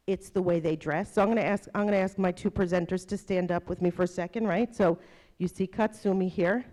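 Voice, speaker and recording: A middle-aged woman with a New Jersey accent, moderating a university workshop and speaking to a room of staff in a lively way, often tagging her points with "right?". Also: mid-range voice. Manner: lively, keeps asking "right?".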